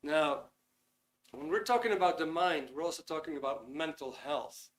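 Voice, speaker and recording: Dutch man hosting a webinar, fairly high. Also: expressive, moderate pace. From Netherlands. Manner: chatty, reads out chat comments, frequent ums. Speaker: webinar host. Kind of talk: casual live webinar talk.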